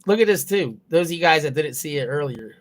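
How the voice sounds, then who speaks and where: A man's voice: a little high, expressive, brisk. A young man streaming from his phone, rambling loosely and swearing heavily as he chats with viewers. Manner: loose, rambling, heavy swearing, laughing at own jokes. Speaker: livestreamer. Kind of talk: casual profane stream chatter. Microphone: mobile phone.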